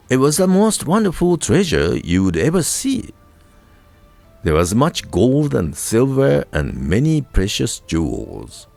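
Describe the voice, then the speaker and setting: A male storyteller with a Japanese accent narrates a folk tale over faint music. His delivery is slow and deliberate, with very animated, sweeping intonation that gives dramatic weight to key words. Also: on the low side, gravelly. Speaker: storyteller, radio voice crew narrator.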